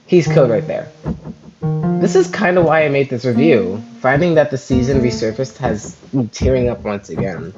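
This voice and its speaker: A man's voice: medium pitch, expressive, normal speed, highs cut off, over loud music. A young man narrating a casual scripted episode review as host, informal and lightly swearing.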